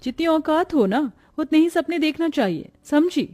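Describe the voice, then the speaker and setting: An arrogant rich young office woman from a cartoon morality tale, put on by a woman. The voice is very high and closely recorded. She talks down to others in a scornful, scolding way. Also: animated, moderate pace, dark tone, no room sound. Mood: scornful, angry. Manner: haughty, scolding. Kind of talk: scripted cartoon moral story acting.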